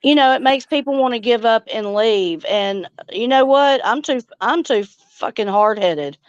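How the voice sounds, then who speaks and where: Woman livestream host, smooth clear voice, blunt and folksy, slightly dull audio. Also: fairly high pitch, a little slow, no room sound. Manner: blunt, folksy, salty-tongued. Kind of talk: casual livestream chat.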